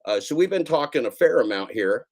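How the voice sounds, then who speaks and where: A man's voice: medium pitch, expressive, brisk, darkish tone. A man hosting a casual livestream, rambling and repeating himself with a sarcastic edge. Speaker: streamer host.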